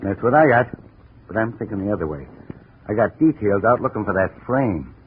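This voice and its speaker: Animated, brisk radio investigator, a man acting. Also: lowish voice, close to an everyday voice. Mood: brisk, probing. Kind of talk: 1950s radio detective acting. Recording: tinny, hissy old radio recording.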